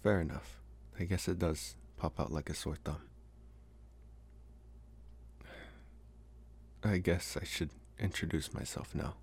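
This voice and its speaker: A man playing a smitten young college vampire in an intimate, hesitant, soft-spoken ASMR roleplay. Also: low voice, gravelly, husky, exaggerated voice. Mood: hesitant, earnest. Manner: hesitant, soft-spoken, slow with long pauses. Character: young male college vampire, smitten, calm, gently possessive. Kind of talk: ASMR roleplay, intimate one-sided dialogue.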